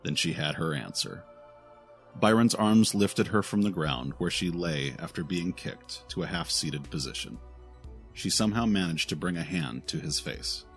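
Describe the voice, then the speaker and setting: A man's voice: low voice, lively intonation, moderate pace, fairly bright tone, soft background music. A man reading scripted audio drama narration in a dramatic, solemn storytelling manner.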